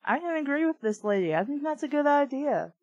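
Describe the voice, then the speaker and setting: A woman's voice: high voice, very animated, a little fast, darkish tone, no room sound, highs cut off. A young adult woman reading aloud and commenting casually, in a blunt, opinionated way. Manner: blunt, opinionated, swears freely. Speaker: host reading a children's book aloud with commentary.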